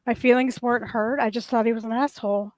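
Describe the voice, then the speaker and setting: Woman panelist, soft, slightly raw voice, earnest long-winded storyteller, dry sound. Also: a little high, fairly even intonation, fast talker, no room sound, low-quality recording. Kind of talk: casual group chat, storytelling.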